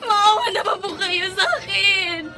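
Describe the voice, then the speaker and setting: Meek young filipino waitress in a radio soap, really high bright voice, earnest and pleading. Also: female voice, fairly even intonation, a little slow, soft background music, heavily acted. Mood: hurt, pleading. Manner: tearful, pleading, polite. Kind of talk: melodramatic radio drama acting.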